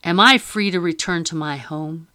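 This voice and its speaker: A woman's voice: on the low side, moderate pace, sharp tone. A woman reading an audiobook and voicing a weary, defiant merchant husband who speaks firmly and formally. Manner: firm and formal.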